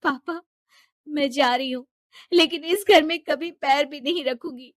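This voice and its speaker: Defiant, earnest young daughter for cartoon drama, high and emphatic, played by a woman. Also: measured pace, no room sound, studio quality. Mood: hurt, indignant.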